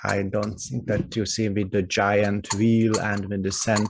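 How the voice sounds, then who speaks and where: A man with a Hungarian accent giving a webinar presentation over a video call, halting and full of "uh" and "also" fillers. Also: lowish voice, flat delivery, gravelly, noisy background. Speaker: guest expert presenter. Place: office with company logo behind him.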